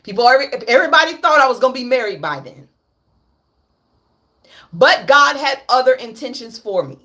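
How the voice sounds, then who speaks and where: A woman's voice: sits high, animated, fairly quick, bright, forceful tone. Blunt, profane woman ranting on a livestream. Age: 30s. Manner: blunt, profane, repeats herself for emphasis. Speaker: livestream host. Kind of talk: candid livestream rant.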